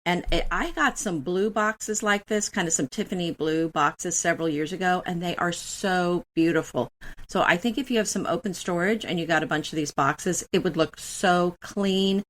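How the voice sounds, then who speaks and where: A woman's voice: fairly low pitch, moderate pace, fairly bright tone. A woman co-hosting a casual home decor chat, describing finds in a friendly, conversational way. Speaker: co-host.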